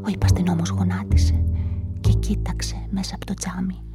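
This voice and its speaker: An actress narrating as a woman suspected in a crime, in a hushed, whispery inner-monologue manner, in a radio play with a music bed. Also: fairly low pitch, very animated. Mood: anxious. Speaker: narrator and witness.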